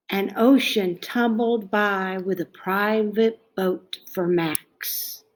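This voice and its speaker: A woman reading a children's picture book aloud in a dramatic, expressive way, stretching out phrases and dropping into hushed tones. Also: medium pitch, a little hiss or hum, poor audio. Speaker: storybook reader.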